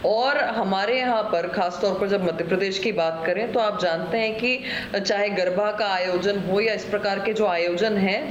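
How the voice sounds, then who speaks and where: A woman with a mid-pitched voice argues as a political spokesperson, measured and insistent. Her room is clearly echoey, with faint noise behind her. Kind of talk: political debate on TV news.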